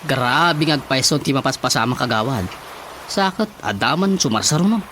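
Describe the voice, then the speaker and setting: A man's voice: medium pitch, lively intonation, normal speed, fairly bright tone, slight background noise, close to an everyday voice. A man with an Ilocano accent playing a worried, chatty villager in a radio drama.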